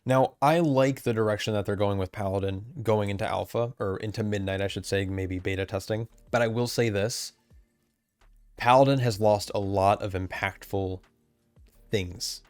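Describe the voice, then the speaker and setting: Man, lowish voice, losing his voice; casual rambling game commentary, dry close mic. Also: fairly even intonation, moderate pace, darkish tone, clear, resonant tone, no room sound, soft background music. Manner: casual, rambling, self-correcting. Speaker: game content creator. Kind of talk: recorded commentary on game changes. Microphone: desk mic, camera off.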